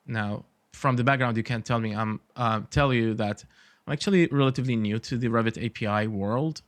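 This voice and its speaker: A man's voice: mid-range voice, brisk, dry recording. Thoughtful Iraqi-born man, dry sound. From Iraq. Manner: thoughtful, many fillers, dry humor. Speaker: podcast guest, architect. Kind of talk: casual interview talk.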